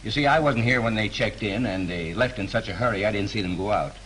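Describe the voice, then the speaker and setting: A man's voice: on the low side, fast, fairly bright tone, close to an everyday voice, rough recording. An actor playing a cautious male hotel desk clerk, in 1930s film acting. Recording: hissy 1930s film soundtrack.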